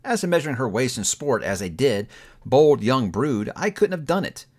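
A man narrating a classic story aloud in an expressive audiobook reading style. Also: mid-range voice, normal speed. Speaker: book reviewer reading aloud.

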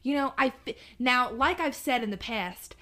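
A woman's voice: sits high, lively intonation, normal speed, pushed and bright. A woman in her twenties chatting casually as a podcast co-host, agreeable and a little hesitant. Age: 20s. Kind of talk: casual chat.

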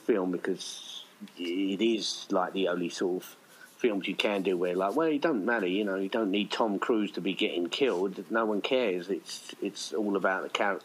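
A man's voice: low-pitched, normal speed, low-quality recording. A man with a British accent talking casually in a rambling, chatty way, as a writer of audio plays. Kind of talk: casual conversation.

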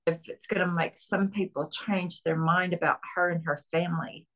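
A woman's voice: fairly low pitch, fairly even intonation, normal speed, poor audio. A woman from Tennessee with a Southern accent, a historian and author chatting as a guest on a podcast interview. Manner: chatty, friendly, with frequent restarts.

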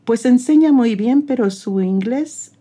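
Mexican woman with a mellow voice. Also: a little high, lively intonation, a little slow, slightly rough. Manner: earnest, encouraging, faith-driven advice. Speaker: English teacher, guest. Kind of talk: interview conversation.

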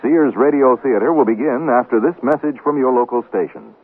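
A man's voice: medium pitch, a little fast, slightly rough. A male announcer reading a scripted program introduction on a tinny seventies radio broadcast. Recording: tinny 1970s radio broadcast, loud music.

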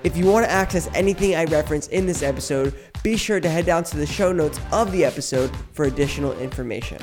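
A young man hosting a casual podcast, his voice a bit hoarse and raspy, chatting loosely with lots of fillers and calling his listeners guys. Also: fairly high pitch, quick pace, a little mellow, soft background music. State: slightly hoarse voice. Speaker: podcast host. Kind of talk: casual solo podcast talk.

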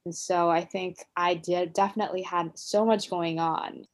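Reflective woman, lowish voice. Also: steady tone, normal speed. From United States. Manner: reflective, many fillers and restarts. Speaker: podcast co-host. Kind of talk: casual conversational storytelling.

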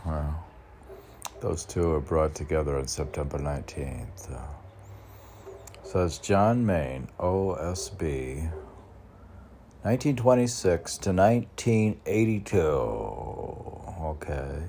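A man's voice: rumbling low, animated, slow pace, pauses often, mellow. A middle-aged man reading his own poetry, declaiming the verse and muttering off-the-cuff asides to himself. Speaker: poet. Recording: faint background noise.

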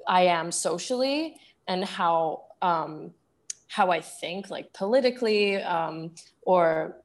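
Thoughtful low-voiced young British woman. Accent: British English with a Singaporean tinge. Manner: thoughtful, many ums and restarts. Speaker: guest. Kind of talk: conversational interview.

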